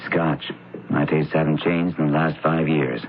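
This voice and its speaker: An actor playing a bemused, forgiving man with an old flame, expressive and conversational, in 1970s radio drama style. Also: rumbling low, a little slow. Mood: warming, agreeable. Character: bemused, forgiving male former lover. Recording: narrowband, hissy old radio broadcast.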